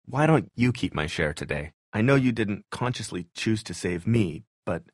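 A man's voice: on the low side, expressive, normal speed, no echo, clean studio sound. A man playing a nerdy, mild-mannered tinkerer in a zombie video game, speaking earnestly and a little hesitantly, pedantic when explaining. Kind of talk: naturalistic video game voice acting.

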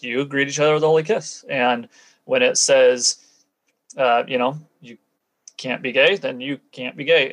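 A man's voice: mid-range voice, rough recording. A man being interviewed as a guest, recounting and explaining things in a matter-of-fact, conversational way. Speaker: interview guest, former church member.

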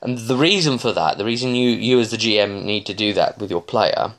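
A man in his thirties with a fairly low voice talks casually in a small living room, picked up by a camera about an arm's length away. He recaps as a tabletop game master in a halting, digressive way, often restarting mid-sentence. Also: a bit bright. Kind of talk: casual, rambling vlog recap.

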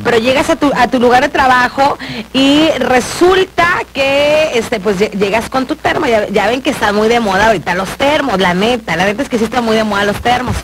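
A woman's voice: on the high side, brisk, noisy background. A Mexican woman radio host chatting casually and colloquially, jokey as she reads out listeners' messages. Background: loud music under the voice.

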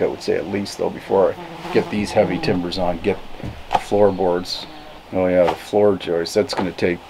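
A man in his fifties explaining casually to camera, unhurried and thinking aloud as he goes. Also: deep voice. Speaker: vlogger, cabin builder. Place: outdoors in a forest, open timber frame. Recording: clear background noise outdoors.

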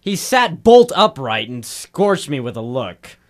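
An actor playing a brash, wisecracking detective's assistant and narrator, breezy and cheeky, in a 1940s radio drama. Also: male voice, mid-range voice, very animated, unhurried. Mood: wry narration.

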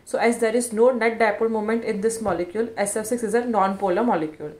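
A woman's voice: on the high side, fairly even intonation, fast talker, a little mellow. Woman narrating an educational explainer. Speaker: educational video presenter.

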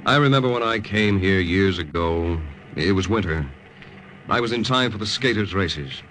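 A man's voice: low voice, animated, moderate pace, a bit bright. A man acting an earnest young American lieutenant working undercover in a wartime radio drama. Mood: nostalgic. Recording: noisy old-time radio recording.

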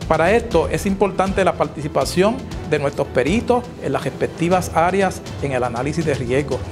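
A middle-aged man with a Puerto Rican accent delivering a scripted, formal address in a measured manner. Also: fairly high pitch, expressive. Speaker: president of an engineers' association. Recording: music playing behind the voice.

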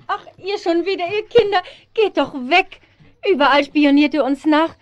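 A woman's voice: extremely high pitch, normal speed, highs cut off. An actress playing a harried, reproachful mother with a plaintive manner in a German children's radio play. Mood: distressed, reproachful. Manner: plaintive, accusing. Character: harried, reproachful mother hiding with her family.

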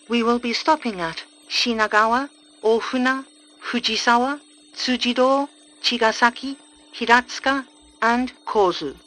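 A woman reading a formal scripted train announcement, measured and clearly articulated, over onboard PA speakers. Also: mid-range voice, a bit bright, poor audio. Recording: train PA recording with hum and noise.

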